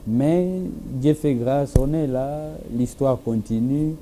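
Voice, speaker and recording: A man's voice: mid-range voice, slow pace, dark tone, a little hiss or hum. A young man, a Swahili-speaking musician with a Congolese French accent, answering interview questions hesitantly with many fillers. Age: young adult. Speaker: musician guest. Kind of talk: television interview.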